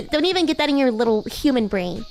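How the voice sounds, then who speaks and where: A high, swooping voice for a bratty demon schoolgirl, played by a woman. She talks down to listeners with haughty teasing and flustered denials, in the manner of a livestream roleplay. Music plays behind her. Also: lively intonation, brisk. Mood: teasing, tsundere.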